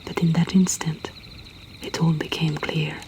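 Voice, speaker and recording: A woman softly whispering a poetry reading as an intimate storyteller host, breathy and deliberate with long pauses. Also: low-pitched, level tone, poor audio.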